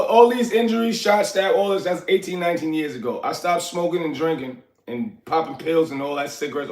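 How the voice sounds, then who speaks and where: Rambling 40s man, echoey room. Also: fairly high pitch. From Liberia. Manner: loose, rambling, answers chat by name. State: recovering from illness, coughing. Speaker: livestream host. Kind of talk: casual livestream chat. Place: apartment.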